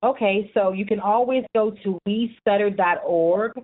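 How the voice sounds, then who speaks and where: A woman's voice: mid-range voice, moderate pace. Passionate woman on a tinny phone line. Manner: earnest, passionate, talks at length. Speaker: guest, youth support group chapter leader. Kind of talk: radio interview.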